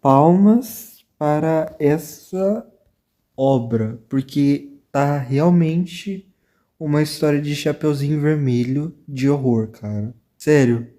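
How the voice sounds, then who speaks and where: A man's voice: fairly high pitch, slow pace, warm tone. A man with a Brazilian accent giving casual, slangy reaction commentary in a muted voice.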